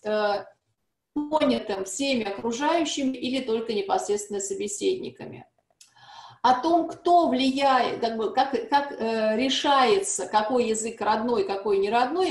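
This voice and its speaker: A woman's voice: fairly high pitch, darkish tone. A woman giving an academic seminar presentation, explaining at length with frequent hesitations and restarts. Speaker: seminar speaker on language testing. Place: conference hall. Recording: echoey room.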